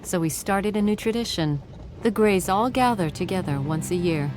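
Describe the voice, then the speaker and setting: An earnest female detective, played by a woman in a mystery game, reflects aloud in a mid-pitched voice. She sounds determined and devoted, with music clearly playing underneath. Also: animated, normal speed, close to an everyday voice. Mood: wistful. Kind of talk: video game narration, thinking aloud.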